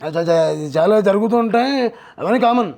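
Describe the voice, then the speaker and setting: A high-voiced male mimicry artist answering questions. He talks haltingly, repeating himself as he restarts. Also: lively intonation, gravelly, darkish tone. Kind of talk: interview answers.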